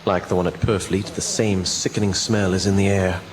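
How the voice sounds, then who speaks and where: An actor playing a sober vampire hunter who reports grim findings in a formal, measured radio drama narration. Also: male voice, deep voice, little pitch movement, big-room sound, natural voice. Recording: very noisy radio play recording.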